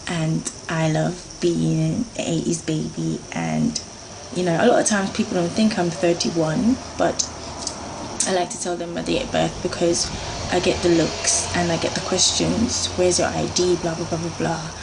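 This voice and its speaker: A woman, talking in a low, gravelly voice. She chats earnestly to her viewers and fills her sentences little fillers. Clear background noise a hum of insects runs under the recording. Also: a little slow. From Jamaica. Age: 30s. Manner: chatty and earnest, with frequent fillers. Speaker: beauty vlogger. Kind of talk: casual talk to camera, going through a list.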